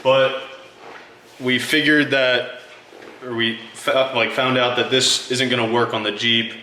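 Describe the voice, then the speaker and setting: A man hosting a casual how-to vlog, showing off parts one at a time in a relaxed way. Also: mid-range voice, unhurried, roomy sound, slight background noise, poor audio. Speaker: channel host. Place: garage.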